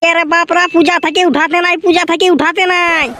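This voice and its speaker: A man voicing a grumbling, put-upon village priest in a comic cartoon, with a rustic Purulia Bengali accent and a pitched-up voice. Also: flat delivery, brisk, bright, forceful tone. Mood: flustered. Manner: scolding, rustic, exclamatory. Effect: pitch-shifted up. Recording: clearly noisy track with clicks.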